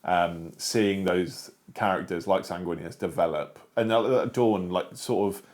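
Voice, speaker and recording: A man's voice: low voice, measured pace, a little mellow. A man in a casual, informal discussion, talking in a slightly hesitant, hedging way. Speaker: discussion show panelist. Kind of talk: casual chat, book discussion.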